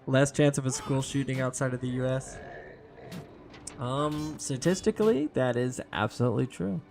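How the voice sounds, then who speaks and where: A young man, hoarse and losing his voice, chatting casually with viewers while streaming a game. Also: mid-range voice, normal speed, darkish tone, faint music behind. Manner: chatty, talks to viewers by name. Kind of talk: casual live-stream chat.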